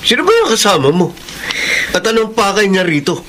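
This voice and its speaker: A man's voice: high-pitched, wildly swooping pitch, a little fast, pushed and bright, full character voice. An actor playing a suspicious, protective man of the house in a radio drama, with a Filipino accent and a wary, confrontational manner. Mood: defiant. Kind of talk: radio drama acting with narrated inner thoughts. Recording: noisy radio drama with vehicle ambience.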